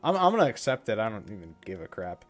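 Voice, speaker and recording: A man's voice: on the low side, big pitch swings, fast talker, soft background music. Congested young man streaming casually. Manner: rambling, self-correcting, chatty. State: congested with a cold. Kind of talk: casual live-stream chat.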